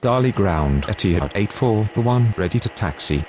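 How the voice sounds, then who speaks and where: A low-pitched man delivers procedural aviation radio calls. There is faint noise behind his voice. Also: animated, moderate pace, darkish tone. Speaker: pilot and air traffic controller radio voice.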